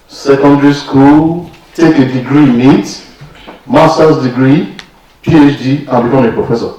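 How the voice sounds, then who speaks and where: A Yoruba-speaking man with a Nigerian accent, giving an emphatic, deliberate public address at a workshop. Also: medium pitch, warm tone, highs cut off. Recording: very echoey room, distant mic.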